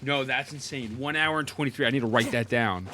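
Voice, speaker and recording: A man's voice: mid-range voice, brisk, pushed and bright, a little hiss or hum. A young man doing casual, slangy reaction commentary as a gaming streamer, often repeating short exclamations.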